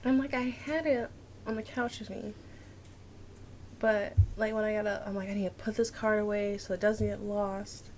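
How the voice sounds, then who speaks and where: A woman's voice: mid-range voice, steady tone, normal speed, darkish tone, slight background noise. A young woman chatting casually and reading a visual novel aloud as a streamer. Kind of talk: casual let's-play chat and dramatic read-aloud narration.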